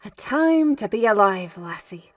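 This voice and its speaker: Wry, commanding adventurer, female actor, mid-pitched and unhurried, shepherding youngsters in fantasy audio drama. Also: sing-song. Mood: focused, wry. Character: bossy, wry adult adventurer taking charge of youngsters.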